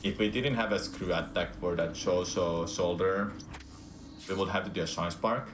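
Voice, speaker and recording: A man's voice: low-pitched, moderate pace, reverberant, noisy background. A Finnish man with a Finnish accent, a speedrunner chatting casually and laughing often as he plays live. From Finland. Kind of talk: casual commentary while gaming.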